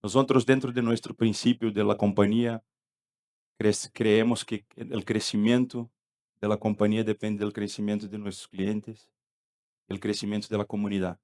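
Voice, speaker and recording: Low-voiced middle-aged Brazilian man. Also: little pitch movement, moderate pace, darkish tone. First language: Portuguese. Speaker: company executive, panelist. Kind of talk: panel remarks.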